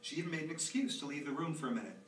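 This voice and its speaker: A man's voice: on the high side, animated, racing, natural voice. A man performing a theatrical monologue as an anxious, chatty, nostalgic young dad, rambling expressively in a live reading. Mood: fond, nostalgic. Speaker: author performing own monologue. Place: small theatre stage, recorded live from a distance. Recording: roomy, distant, noisy live theatre recording.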